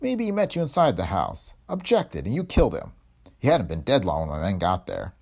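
A man voicing a gruff, shrewd police chief in a hardboiled detective story, blunt and intimidating with grudging amusement. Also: fairly low pitch, wildly swooping pitch, brisk, a little mellow, exaggerated voice. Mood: accusing. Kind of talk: hardboiled detective audiobook dialogue.

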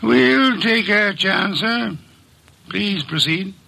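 A fussy genius detective, gravelly, bright edge, old radio drama, very proper. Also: male voice, high-pitched, expressive, drawn-out words, exaggerated voice. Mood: formal, wary. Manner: pompous, formal, precise diction. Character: ponderous genius male detective, fussy and formal. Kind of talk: 1940s radio acting.

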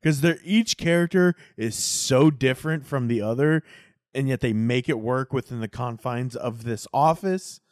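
A man's voice: medium pitch, moderate pace, dry recording. A man co-hosting a casual podcast, talking in a plain mid-range voice. He is emphatic and opinionated and swears freely. The recording is close and dry, with no room around him. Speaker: podcast co-host. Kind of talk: casual chat.

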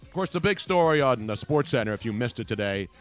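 A man's voice: mid-range voice, lively intonation, fast, soft background music. A man from Philadelphia hosting a talk radio show, joking around in mock outrage and rambling. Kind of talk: casual sports talk radio.

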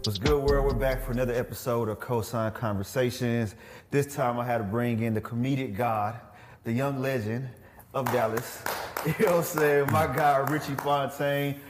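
Upbeat slangy host, reverberant room. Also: male voice, mid-range voice, fairly even intonation, moderate pace, music in the background. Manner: upbeat, slangy, lots of "you know what I'm saying". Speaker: interview host. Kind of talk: casual interview chat.

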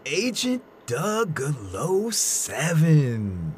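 A man's voice: a little high, wildly swooping pitch, drawn-out words, a little mellow, slight background noise, heavily acted. A man playing a cocky office bully with swaggering, jeering banter. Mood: cocky, patronising. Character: cocky, bullying male office bro, mocking his colleague.

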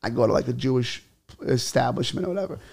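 A man's voice: mid-range voice, vocal fry, slightly rough. A young man, a comedian, telling stories in casual podcast chat and slipping into put-on accents. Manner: animated, rapid switches into put-on accents. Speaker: comedian guest.

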